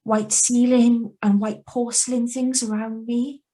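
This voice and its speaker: A woman's voice: a little high, flat delivery, unhurried, mellow, natural voice. A woman playing a troubled, hesitant young woman who survived an accident, in a radio drama read over a video call. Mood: brooding, reflective. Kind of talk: radio drama acting with first-person narration. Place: remote, on a Zoom video call. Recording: live video call reading.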